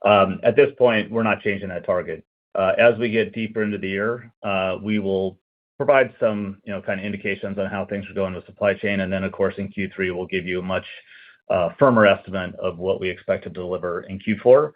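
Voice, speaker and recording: A fairly low man's voice heard over a phone-like line. He is a finance executive who lays out numbers plainly and hedges in a relaxed way. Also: a little fast. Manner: matter-of-fact, casual hedging. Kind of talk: earnings call answer.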